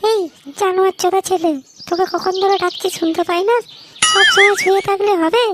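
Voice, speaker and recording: A woman's voice: fairly even intonation, fairly quick, very noisy. A woman voicing a bad-tempered village mother who nags shrilly in a comic cartoon dub. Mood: scolding. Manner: shrill nagging.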